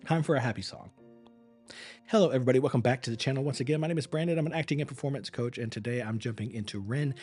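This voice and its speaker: A man's voice: medium pitch, very fast, darkish tone, slightly rough, faint music behind. Tired male streamer, rambling and earnest. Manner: rambling, earnest, repeats words as he restarts. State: tired, voice wearing thin. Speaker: acting and performance coach, streamer. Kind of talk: casual live-stream chat with viewers.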